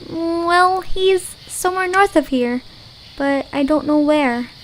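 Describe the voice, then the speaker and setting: A woman's voice: extremely high pitch, slow, slight background noise, rough recording. A woman voicing an earnest, hesitant young girl adventurer in an audio drama. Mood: worried, guilty. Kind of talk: audio drama character acting.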